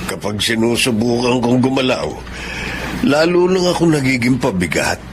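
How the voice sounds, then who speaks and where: A man's voice: medium pitch, lively intonation, moderate pace, close to an everyday voice. A man acting a weary, guilt-ridden middle-aged husband in a radio drama, apologetic and hesitant. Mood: resigned, bitter. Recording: noisy background ambience.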